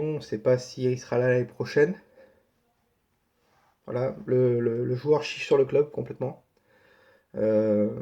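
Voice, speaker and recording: A man's voice: medium pitch, dark tone. A man with a head cold, giving a casual football news update in a colloquial way, repeating points for emphasis. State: has a cold. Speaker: host of a football transfer news channel. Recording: dull, lo-fi sound.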